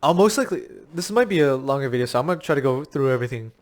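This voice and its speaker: A man with a slightly raspy, worn voice, coaching viewers on a crypto game in a rambling, filler-heavy pitch, a little short of breath. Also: lively intonation, rapid-fire. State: short of breath from long talking. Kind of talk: casual talking-head explainer.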